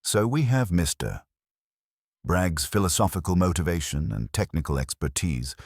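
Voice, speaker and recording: A man voicing a wry, composed police inspector in a mystery audiobook, formal, dry and deliberate. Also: rumbling low, no room sound, full character voice. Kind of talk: audiobook mystery character dialogue.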